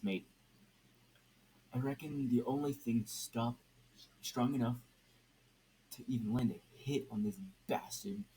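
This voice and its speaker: A sardonic, world-weary male magician, as a male reader plays him, grumbles through his lines at a slow pace. The voice is dark and mellow, with some background noise from a home recording of a story. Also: mid-range voice, lightly acted. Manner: sneering, sardonic. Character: grumbling, cynical chain-smoking male occult magician. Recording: noisy amateur home recording.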